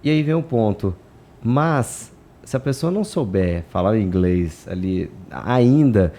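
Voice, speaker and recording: Low mellow Brazilian man. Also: a little slow, slight background noise. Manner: relaxed, filler-heavy chat. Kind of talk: casual conversation.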